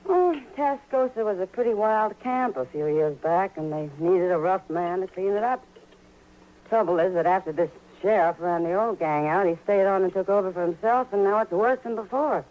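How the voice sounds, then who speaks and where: A woman's voice: mid-range voice, normal speed, lightly acted. An actress playing a worldly, sensible American frontier woman in an old Western radio drama, matter-of-fact and mildly chiding. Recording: dull old radio recording.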